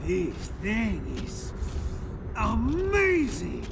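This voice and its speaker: A man playing an excitable masked pirate soldier in a video game cutscene, exclaiming and cursing with bits of Spanish thrown in. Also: high-pitched, drawn-out words, creaky, heavy background noise, heavily acted. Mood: excited, intent. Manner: exclamatory, foul-mouthed, peppers speech with Spanish. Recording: loud music and engines behind the voice.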